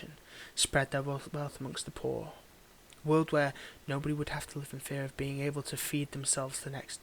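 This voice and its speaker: A man acts out a domineering villain in an intimate audio drama, his voice pitched a little above the usual male range. He is cold and condescending with a secretly fond streak, delivering his monologue theatrically, as if right up against one listener. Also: a little hiss or hum, poor audio. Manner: condescending, theatrical, swinging between ranting and hushed murmurs. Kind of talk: scripted audio roleplay monologue, intimate address.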